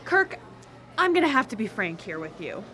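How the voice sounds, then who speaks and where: A woman playing a brash, flirtatious, sharp-tongued date in a live radio drama, blunt and dramatic. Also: mid-range voice, very animated, moderate pace. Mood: frustrated.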